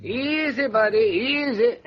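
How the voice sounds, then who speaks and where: A man's voice: very high voice, slow, music in the background. A man playing a friendly, folksy cab driver, soothing and clipped, in a 1950s radio drama. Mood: soothing concern. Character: friendly, folksy New Orleans cab driver helping a stranger. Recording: dull, noisy old radio recording.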